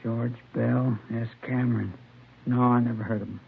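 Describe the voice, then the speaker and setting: An actor playing a shaken storekeeper in a 1950s radio crime drama, halting, polite and uncertain, with a gravelly voice. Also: male voice, medium pitch, level tone, phone-quality sound, natural voice. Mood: subdued, uncertain. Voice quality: gravelly, creaky. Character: shaken, hesitant male storekeeper, holdup victim. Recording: thin, faintly noisy vintage radio recording.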